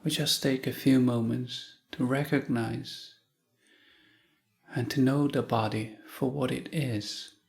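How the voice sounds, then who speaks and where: A man leading a guided meditation in short, calm phrases with long pauses. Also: medium pitch, gravelly. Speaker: meditation teacher.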